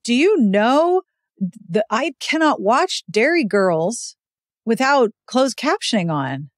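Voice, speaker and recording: A woman's voice: on the high side, sing-song, slow, no room sound, clean studio sound. A woman hosting a podcast, chatting casually and expressively in an interview. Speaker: podcast host.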